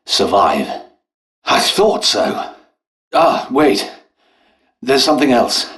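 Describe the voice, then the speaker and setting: Game role: dutiful British scientist-physician, genteel and faltering, very animated intonation, measured slow delivery. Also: male voice, medium pitch. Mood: courteous, busy. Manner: genteel, hesitant, old-fashioned politeness. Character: polite, dutiful, harried English royal doctor and scientist. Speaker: video game voice actor. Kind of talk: scripted game character dialogue.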